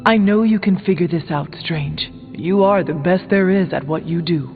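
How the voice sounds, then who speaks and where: An actor playing an earnest warrior hero in a superhero video game, with formal, deliberate speech. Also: male voice, sits high. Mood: earnest, urging. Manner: formal, deliberate, avoids contractions. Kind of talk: video game dialogue acting. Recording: thin narrow band, reverberant, music behind.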